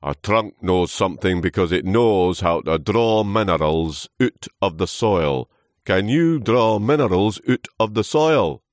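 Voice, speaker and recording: A man's voice: low voice, a little slow, no room sound, studio quality, voice pushed up. A man voicing a grumpy, pompous old tree trunk in a children's story, groaning wearily and full of scorn. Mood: scornful.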